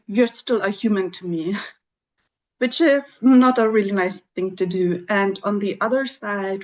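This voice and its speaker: A young woman who grew up in Germany, speaking as an interview guest in an earnest, reflective way with frequent "you know". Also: medium pitch. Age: young adult. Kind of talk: conversational interview. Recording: dull lo-fi audio.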